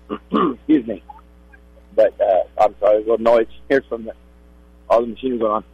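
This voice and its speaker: Gravelly, low-voiced middle-aged man. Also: steady tone, normal speed, no room sound, dull audio. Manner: polite, folksy. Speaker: rodeo contractor guest.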